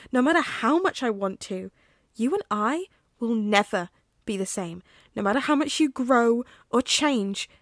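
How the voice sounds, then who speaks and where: A woman voicing a betrayed teenage boy who is a werewolf, shouting and swearing bitterly in a storybook reading. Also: on the high side, husky, no room sound, studio quality, exaggerated voice.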